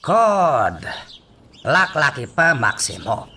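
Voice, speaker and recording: A man's voice: a little high, sing-song, drawn-out words, pushed and bright, recorded from a distance, a little hiss or hum, heavily acted. An actor playing a domineering male boss in an Ilocano radio drama. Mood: stern, displeased. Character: domineering male boss scolding his underlings.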